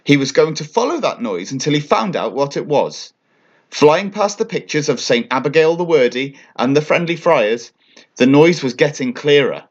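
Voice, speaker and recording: A man reading a children's bedtime story aloud as an expressive narrator. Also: fairly high pitch, normal speed, dull audio.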